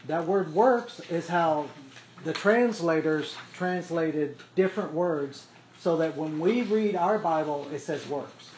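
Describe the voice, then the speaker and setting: A man's voice: a little high, slow, a little mellow. Man teaching a Bible study, checking in often. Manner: explains step by step, checks in with "okay?" often. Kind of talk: casual teaching to a small group. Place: room with a small group. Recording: echoey room, faint background noise.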